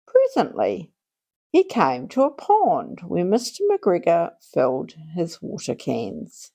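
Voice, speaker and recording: A woman reading a children's storybook aloud in an expressive, deliberate way, drawing out her phrases for young listeners. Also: fairly low pitch, vocal fry, husky. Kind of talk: read-aloud storybook narration.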